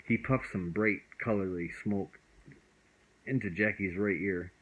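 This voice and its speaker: A man's voice: low voice, unhurried, a bit bright, slightly rough, dull audio. A man reading a bedtime story aloud as storyteller, expressively and with the odd stumble over words.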